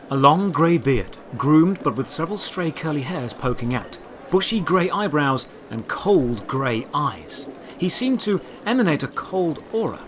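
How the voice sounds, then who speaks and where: A man narrating a scripted fantasy adventure in the first person, with deliberate, theatrical storytelling delivery. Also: a little high, fairly bright tone, a little hiss or hum. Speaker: narrator and writer of the series.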